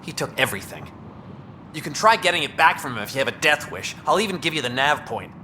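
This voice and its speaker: A man's voice: a little high, animated, fast, bright, forceful tone, slight background noise. A man playing a nervous, talkative scavenger outlaw in a video game, edgy and colloquial, eager to placate. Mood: dismissive, bitter.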